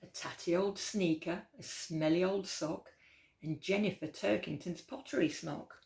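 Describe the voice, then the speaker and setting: A woman reading a rhyming children's picture book aloud as a storytime host, with expressive, dramatic emphasis. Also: on the low side, a little slow, gravelly. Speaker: library storytime reader. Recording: roomy, echoey indoor sound.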